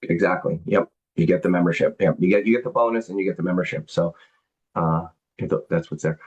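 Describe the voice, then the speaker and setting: A man's voice: low-pitched, quick pace. A man hosting a business call, explaining membership benefits and taking questions, rambling with lots of fillers and repeated words. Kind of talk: business presentation and Q&A on a group call. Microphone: conference call. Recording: dull lo-fi call audio.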